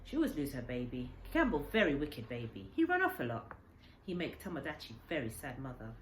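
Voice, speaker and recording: Woman acting sorrowful old man from Japan, storybook character, broken simple English, lively swooping pitch, roomy sound, faint noise. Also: brisk, heavily acted. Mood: weary concern. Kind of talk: children's storybook reading. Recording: echoey room, faint background noise.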